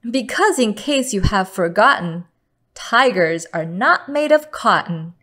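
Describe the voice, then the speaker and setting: A woman storyteller reading a picture book aloud to children in a deliberate, expressive manner. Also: mid-range voice.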